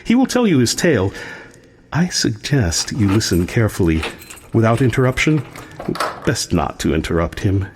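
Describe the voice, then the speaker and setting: A genteel doctor presiding over an asylum in a radio drama. He is polite and theatrical, with an ominous, deliberate manner and lively swings in pitch. Music plays behind him. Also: a little hiss or hum.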